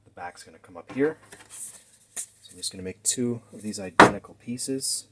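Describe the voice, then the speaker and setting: A man's voice: on the low side, moderate pace. A man in his thirties casually narrating a DIY build in a garage workshop, thinking aloud informally as he works. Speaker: DIY maker, host. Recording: noisy workshop recording with clicks.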